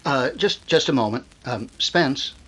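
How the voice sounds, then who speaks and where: A man's voice: mid-range voice, expressive, pushed and bright, breathy edge, exaggerated voice, poor audio. A man playing a fussy, reassuring broadcast announcer who speaks hesitantly in a 1930s style radio drama. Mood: hesitant, explanatory. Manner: halting, hesitant, solicitous.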